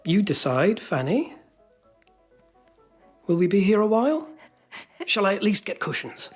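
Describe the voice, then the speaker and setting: Kind English boy, period radio play, soft-mannered, halting, animated pitch, slightly breathy, faint music. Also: exaggerated voice. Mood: gentle, coaxing. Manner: gentle, coaxing, halting. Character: kind, gentle, coaxing boy cousin of good family. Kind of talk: period radio drama acting.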